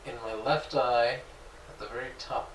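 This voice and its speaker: A man, the host, lying relaxed and briefly describing what he feels during a Reiki session. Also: medium pitch, normal speed, a little hiss or hum. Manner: relaxed, brief, reporting sensations. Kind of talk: casual responses. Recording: echoey room, distant mic.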